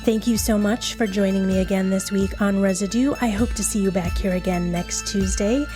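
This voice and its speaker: A woman's voice: medium pitch, steady tone, normal speed, warm tone. A woman hosting a true crime podcast, reading scripted narration. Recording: faint music behind the voice. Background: faint music.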